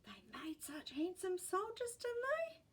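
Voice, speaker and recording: A woman's voice: extremely high pitch, very animated, measured pace, voice pushed up, heavily acted. A woman voicing a proud, wistful elderly great-grandmother who reminisces earnestly in a storybook. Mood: fond, nostalgic. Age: older. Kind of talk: storybook character voice.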